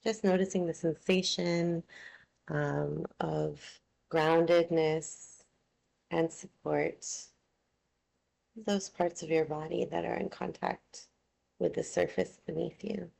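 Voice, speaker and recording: Slow, low-pitched woman guiding meditation. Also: fairly even intonation, creaky. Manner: soothing, unhurried, gently instructing. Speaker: yoga nidra meditation teacher. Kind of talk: guided relaxation meditation.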